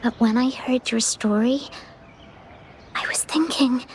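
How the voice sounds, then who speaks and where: A woman voicing a timid, wistful young child in an anime-style video game, speaking softly and hesitantly. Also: on the high side, slow, a little hiss or hum. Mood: wistful, sad.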